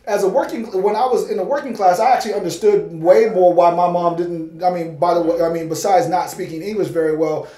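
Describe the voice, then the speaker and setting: Man, 40s, sociology professor, lively casual talk, bright high voice, roomy sound. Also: fairly quick, hardly any pauses. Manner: animated, gesturing. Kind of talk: casual discussion. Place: small room, yellow wall.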